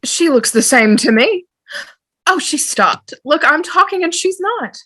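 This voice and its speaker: A woman's voice: sits high, animated, unhurried, pushed and bright, full character voice. A woman playing a frightened, insistent mother who accuses others in an amateur table read. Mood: alarmed. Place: remote video call.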